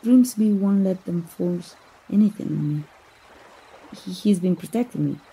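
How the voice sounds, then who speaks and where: A woman from Mexico voicing a gentle, romantic young princess in an ASMR roleplay, soft and breathy, with a hesitant, earnest manner. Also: lowish voice, expressive, a little slow, exaggerated voice, poor audio. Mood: shy, tender. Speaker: amateur voice actor.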